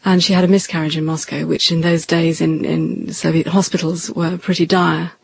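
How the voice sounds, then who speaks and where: A middle-aged or older person with a gravelly voice, recalling the past in a halting, subdued interview style. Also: mid-range voice, flat delivery, no echo. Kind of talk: reflective interview reminiscence.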